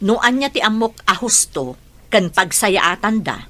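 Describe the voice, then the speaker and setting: A woman's voice: medium pitch, expressive, unhurried, natural voice. A woman playing a strict, stubborn working mother in a radio drama, curt and insistent.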